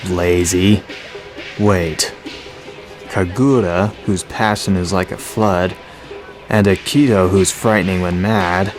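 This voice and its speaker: A man playing a laid-back, sardonic teenage boy with a deadpan manner in a radio drama. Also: deep voice, soft background music. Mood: cool, dry. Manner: deadpan, slow. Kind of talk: amateur anime-style radio drama acting.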